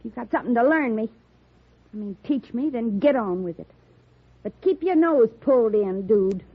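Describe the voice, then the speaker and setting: A woman playing a brash, hot-tempered young sharpshooter in a radio western, with a rough rustic American Western drawl and a defiant, blustering way of talking. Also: on the high side, animated, moderate pace. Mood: defiant, angry. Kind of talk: old-time radio western acting. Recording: muffled old radio broadcast.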